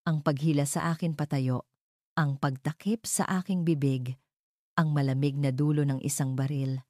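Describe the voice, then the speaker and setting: A woman narrating a scripted first-person drama in measured, suspenseful phrases. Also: low-pitched, fairly even intonation, dark tone, no room sound, studio quality. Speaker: story narrator.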